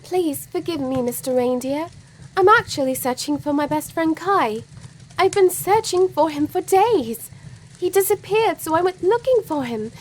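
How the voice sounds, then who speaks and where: A woman playing a gentle, polite young girl searching for her lost friend in an audio play. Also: extremely high pitch, lively intonation, normal speed, a little hiss or hum, low-quality recording. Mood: timid, polite. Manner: earnest, polite.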